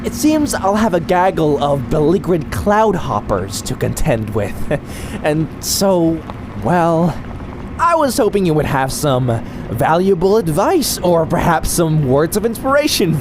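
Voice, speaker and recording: A man voicing a skinny, excitable young cartoon bootlegger who talks in a theatrical, wordy, rambling way. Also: a little high, a little slow. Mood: nervous, pleading. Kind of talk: animated comic dub acting. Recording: faint background noise.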